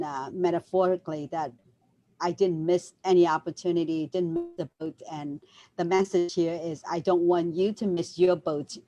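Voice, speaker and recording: A woman's voice: on the low side, a little mellow. A woman in her fifties with a Vietnamese accent, hosting a casual video call chat and laughing often. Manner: laughs often, cheerful and chatty. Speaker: show host. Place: home office.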